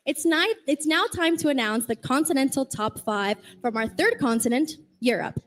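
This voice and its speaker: A woman's voice: high voice, lively intonation, moderate pace. A woman hosting a live pageant broadcast, calling out each contestant in an upbeat, projecting way. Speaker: pageant host.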